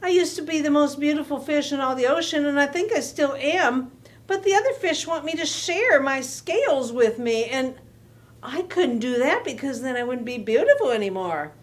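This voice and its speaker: A proud, conceited rainbow fish from a children's storybook, voiced by a woman reading aloud. He sounds haughty and quick to take offense, in a raised, bright voice. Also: high voice, expressive, voice pushed up. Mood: worried. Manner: haughty, indignant outbursts.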